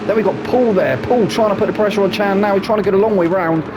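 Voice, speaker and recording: A man with a snuffly hay fever voice, commentating a sim racing league in a chatty way, full of tag questions. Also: fast. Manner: chatty, asks tag questions, drops low for asides. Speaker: race commentator. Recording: loud game engine noise behind voice.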